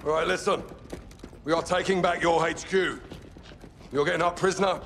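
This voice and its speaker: An actor playing a gruff, resolute special forces captain briefing troops in a game cutscene. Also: male voice, fairly high pitch, fairly even intonation, normal speed, noisy background, close to an everyday voice. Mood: resolute, rallying. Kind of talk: video game cutscene acting.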